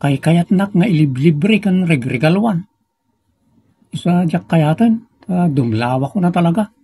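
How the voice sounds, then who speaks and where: A man's voice: fairly high pitch, animated, measured pace, warm tone, no echo, full character voice. An actor with a northern Philippine accent playing a suspicious, gossiping man in an Ilocano comedy drama. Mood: uneasy, confiding. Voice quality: husky, creaky. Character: suspicious, gossiping man uneasy about friend's father. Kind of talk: Ilocano comedy radio-style drama acting.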